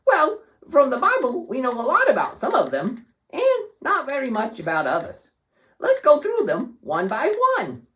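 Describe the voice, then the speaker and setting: A performer voicing a cheerful, excitable puppet host in a scripted children's Bible show, explaining things playfully. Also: high voice, moderate pace, exaggerated voice.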